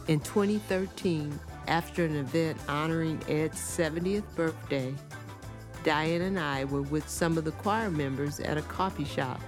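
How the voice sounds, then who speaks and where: A woman co-hosting a podcast, reading a scripted introduction in a measured, heartfelt way. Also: deep voice. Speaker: podcast co-host. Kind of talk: scripted narration. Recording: music playing underneath.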